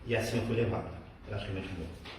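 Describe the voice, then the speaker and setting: A man with a southern Brazilian accent from Rio Grande do Sul gives personal testimony to a group in measured short phrases with pauses. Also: lowish voice. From Santa Maria, southern Brazil. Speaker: spiritist medium, guest speaker. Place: echoey meeting room with a group. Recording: very echoey room, distant mic, clear noise.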